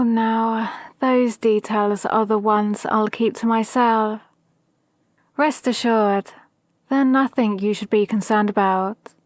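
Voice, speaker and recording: Villain mentor, female actor, ASMR roleplay: calculating, formal, gently menacing, unhurried, close dry sound. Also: medium pitch, dry recording, lightly acted. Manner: measured, deliberate, formal. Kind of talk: scripted ASMR roleplay monologue.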